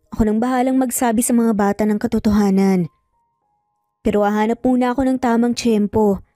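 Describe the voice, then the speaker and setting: A storyteller plays a principled woman lawyer in an audio drama. She sounds sincere and quietly firm, her voice fairly high and mellow, close and dry with music underneath. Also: no echo, close to an everyday voice. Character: principled, determined woman lawyer, reassuring and guarded.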